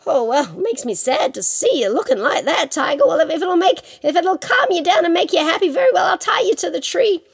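A woman voicing a crafty male rabbit in an animated folktale, excitable and breathless, coaxing with false concern. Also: very high-pitched, fairly quick, vocal fry, few pauses, pitched above the performer's own voice, full character voice. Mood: feigned reluctance. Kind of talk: animated oral folktale storytelling.

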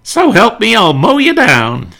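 Man doing an excitable high-pitched radio comic. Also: a little slow, vocal fry, exaggerated voice. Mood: excited exclaiming. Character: excitable, high-voiced radio comedy characters shouting catchphrases. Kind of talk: old-time radio comedy.

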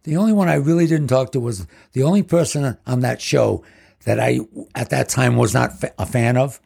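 A man's voice: mid-range voice, moderate pace, gravelly, darkish tone, breathy edge. A man in his seventies telling rambling stories about his past as a guest in casual chat. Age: 70s. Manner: rambling, leans on "basically". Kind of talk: casual storytelling.

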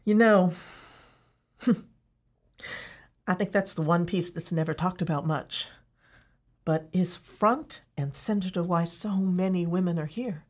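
An actress playing a thoughtful fellow inmate who sighs and talks slowly. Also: female voice, on the low side. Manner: slow, sighing, thoughtful. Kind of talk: audio drama acting.

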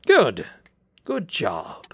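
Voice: medium pitch, wildly swooping pitch, extremely slow, gravelly, slightly rough, no echo, clean studio sound, exaggerated voice. An actor playing a smug, manipulative doctor in an audio drama, with condescending, mock-polite, theatrical phrasing. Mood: brisk, scheming. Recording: loud music under the voice. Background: music.

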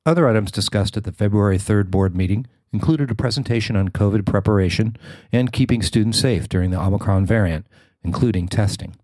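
A man's voice: on the low side, fairly quick, a little mellow, dry recording. A man reading scripted news narration as a podcast host and reporter.

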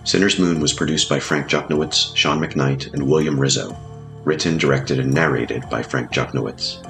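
A man's voice: rumbling low, moderate pace, fairly bright tone. A man narrating a scripted horror audio drama in an ominous, literary manner. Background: music.

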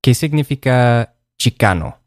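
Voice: medium pitch, animated, a little slow, a little mellow, dry recording, clean studio sound. A co-host in casual conversation, agreeable, asking questions that move the talk along.

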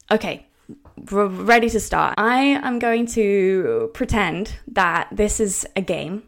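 Woman, Norwegian first language, podcast language coach, gentle, mid-range voice, step-by-step explaining. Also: medium pitch. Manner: slows down to spell out example words.

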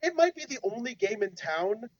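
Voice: mid-range voice, big pitch swings, moderate pace, dry recording. A podcast co-host in their thirties who talks in a joking, thoughtful way and gives advice. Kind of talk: casual discussion podcast. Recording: dull, dry lo-fi audio.

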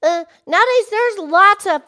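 Woman putting on an excitable, high-pitched cartoon pig. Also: moderate pace, heavily acted. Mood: excited, chatty. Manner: exclaiming, chatty, colloquial. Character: excitable, folksy female cartoon pig podcast co-host. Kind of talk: playful podcast banter.

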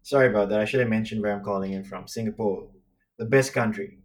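Male podcast co-host, grew up in Sri Lanka, relaxed rambling banter over a remote line. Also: on the low side, fast. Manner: laughing, easygoing, rambling. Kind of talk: casual podcast chat over a call. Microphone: remote call.

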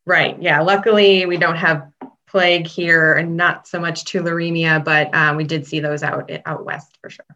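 Low-voiced woman, dull lo-fi sound. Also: flat delivery, bright, forceful tone. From Chicago, Illinois. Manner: friendly, many ums, quick laughs. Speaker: guest, state public health veterinarian. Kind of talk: interview answers, casual.

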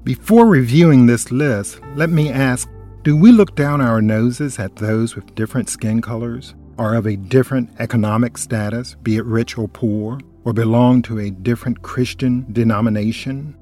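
A man's voice: medium pitch, normal speed, faint music behind. Man giving earnest Bible teaching. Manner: earnest, steady delivery. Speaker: Bible study co-host.

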